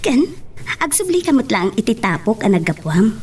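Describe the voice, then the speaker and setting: A woman playing a kind, principled young sweetheart in a radio drama, earnest and gently moralizing. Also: fairly high pitch, sing-song, normal speed, a little hiss or hum.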